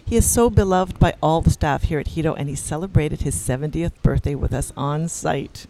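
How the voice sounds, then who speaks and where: A man with a fairly high voice hosts a podcast in a chatty, upbeat way. Faint ambient sound sits behind him, and he introduces his guests with easy enthusiasm. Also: normal speed, mellow, slight background noise, rough recording. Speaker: host. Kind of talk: podcast hosting and ad read. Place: resort in Jamaica.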